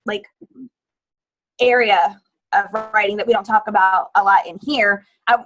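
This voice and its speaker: A woman's voice: medium pitch, fairly even intonation, a little slow, fairly bright tone, a little hiss or hum, rough recording. A woman moderating a casual panel chat, steering the talk between guests. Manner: chatty, steers the discussion.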